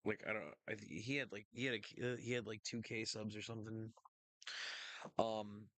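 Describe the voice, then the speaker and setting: A man co-hosting a live stream in relaxed, slangy chat. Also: fairly low pitch, moderate pace, no echo. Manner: laid-back, slangy, says bro and man. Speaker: co-host.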